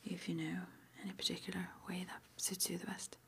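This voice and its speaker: A woman whispering softly and breathily as an interviewer in an ASMR roleplay, murmuring little acknowledgements between her questions. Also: on the low side, level tone, fairly quick, creaky, slight background noise. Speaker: interviewer at a witch school.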